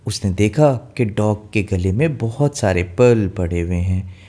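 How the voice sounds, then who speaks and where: A man's voice: lowish voice, animated, measured pace, mellow. A man narrating a scripted children's fairy tale in simple language, mixing in some English words. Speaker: storyteller.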